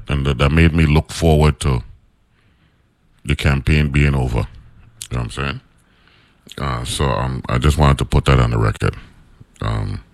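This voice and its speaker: Deep baritone man hosting radio. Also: no room sound. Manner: conversational, many fillers and "right?" tags. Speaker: radio talk show host. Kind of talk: casual radio monologue. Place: radio studio.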